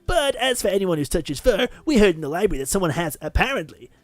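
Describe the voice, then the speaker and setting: A man's voice: high voice, sing-song, fast talker, dry recording. A man co-hosting a let's play, chatting playfully about a game and reading bits of it aloud.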